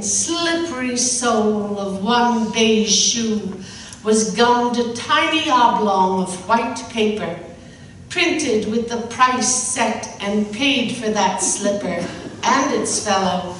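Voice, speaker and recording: A woman giving an expressive, measured literary reading, recorded from a distance in an echoey room. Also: on the high side. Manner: deliberate, measured. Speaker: reader. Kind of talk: expressive literary story narration. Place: public reading in a library. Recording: echoey hall from far off, faint noise.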